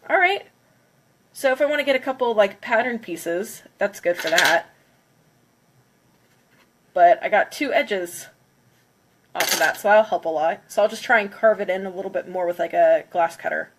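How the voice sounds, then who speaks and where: A woman's voice: medium pitch, measured pace, long pauses, a little hiss or hum. A congested woman chatting casually on a livestream, counting down before smashing plates. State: congested from a cold. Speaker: craft livestreamer.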